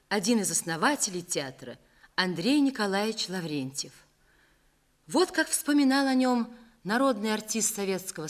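A woman reading scripted documentary narration in a clear, formal manner. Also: mid-range voice.

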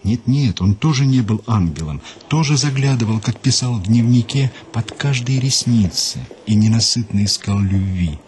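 A man hosting a radio program, reading a scripted story in a measured, unhurried way and dropping lower and quieter for quotations. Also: animated, a little hiss or hum. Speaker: radio host and narrator.

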